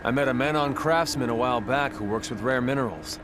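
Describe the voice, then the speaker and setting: A man's voice: mid-range voice, fairly quick, background noise, lightly acted. A man playing an easygoing, helpful military crew leader in a video game cutscene. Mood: helpful, sincere.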